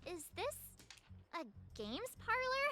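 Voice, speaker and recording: A woman voicing a bright, curious young girl adventurer in a video game, perky and inquisitive. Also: extremely high pitch, very animated, slow, faint music behind, exaggerated voice. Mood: curious, puzzled.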